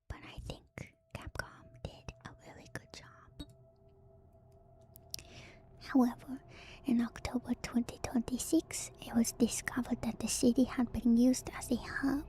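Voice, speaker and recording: A woman streamer speaking in a soft, breathy ASMR whisper, gentle and musing as she reads lore and comments casually, softly repeating phrases. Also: a little high. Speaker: streamer and host.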